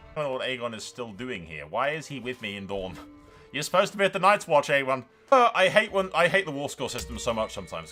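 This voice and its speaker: A man with a bright, forceful voice at a middle pitch hosts a gaming video over music. He commentates off the cuff, swears freely and slips into dramatic storytelling as he addresses his viewers. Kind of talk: casual improvised game commentary with roleplay storytelling.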